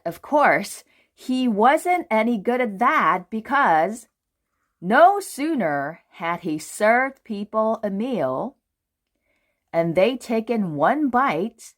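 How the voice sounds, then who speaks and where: A woman narrates a picture book for children with dramatic, deliberate phrasing. Her pace is slow and her intonation swings widely. The voice is medium in pitch. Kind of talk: expressive storybook narration for children.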